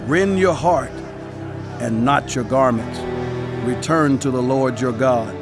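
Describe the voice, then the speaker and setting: An actor playing a grave, exhorting prophet who narrates dramatized scripture in a solemn, measured, declamatory way. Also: male voice, mid-range voice, over music. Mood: urgent exhortation.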